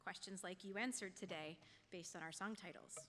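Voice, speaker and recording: A woman giving a prepared, reflective sermon as a lay speaker, in a measured, questioning manner. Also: fairly low pitch, sharp tone. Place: church sanctuary. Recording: long church hall reverb.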